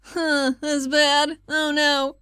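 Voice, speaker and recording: A woman's voice: sits high, flat delivery, extremely slow, bright, forceful tone, no echo, studio quality. A woman playing a tabletop game, chatting casually in a playful, informal way.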